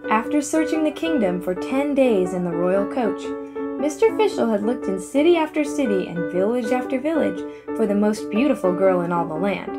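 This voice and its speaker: A woman narrating a children's fairy tale in an expressive, deliberate storybook manner. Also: medium pitch, over loud music.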